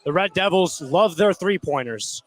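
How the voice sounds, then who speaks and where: A man's voice: high-pitched, lively intonation, moderate pace. A man giving color commentary and short analysis during a live basketball broadcast.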